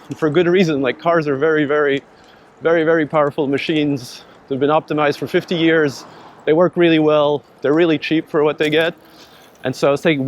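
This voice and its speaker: A man's voice: a little high, a little hiss or hum. French-accented man chatting outdoors. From France. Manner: relaxed, rambling with restarts and repeated words. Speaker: startup founder. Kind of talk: casual conversation while riding. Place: outdoors on city streets.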